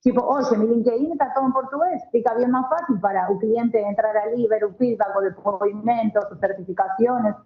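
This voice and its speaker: A woman with an Argentine accent and native Spanish speaks casually in a fairly high voice, blending Portuguese and Spanish. The sound is roomy and somewhat muffled, like lo-fi call audio. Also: fairly even intonation, a little fast, hardly any pauses. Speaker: guest, marketing professional.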